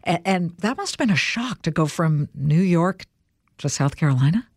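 A woman's voice: fairly low pitch, lively intonation, normal speed, no room sound, studio quality. A woman hosting an interview, asking questions in a chatty, opinionated way with asides and restarts. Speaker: interview host. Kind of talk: conversational interview.

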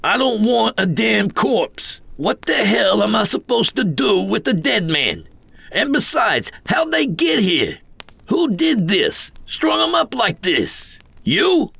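A man's voice: high-pitched, sing-song, slow, vocal fry, bright, forceful tone, slightly rough, no room sound, voice pushed up, heavily acted. A man playing a bewildered, agitated American greenhorn in a told story. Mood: alarmed, angry.

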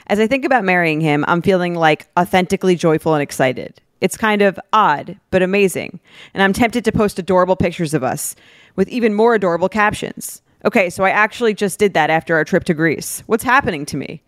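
A woman's voice: fairly low pitch, lively intonation, a little fast, no echo, studio quality. A woman co-hosting a podcast, reading a script aloud with some casual banter. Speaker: podcast co-host.

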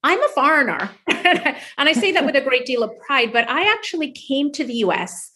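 Jamaican lady doctor on a podcast, kinda high voice, lively storyteller. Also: brisk. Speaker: guest physician. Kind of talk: storytelling in an interview.

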